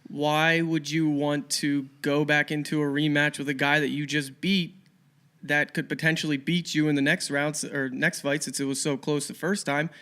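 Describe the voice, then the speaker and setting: A man co-hosting a sports podcast, giving casual fight analysis in an earnest, measured way. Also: fairly high pitch, level tone, bright, forceful tone. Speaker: boxing podcast co-host.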